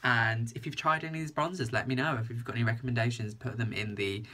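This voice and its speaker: Bright-voiced gushing British young man. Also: mid-range voice, steady tone, fast. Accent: British English. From England. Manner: gushing, enthusiastic, repeats "very, very". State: blocked-up nose from hay fever. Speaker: beauty vlogger. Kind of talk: casual makeup review vlog. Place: small indoor room with a printed backdrop.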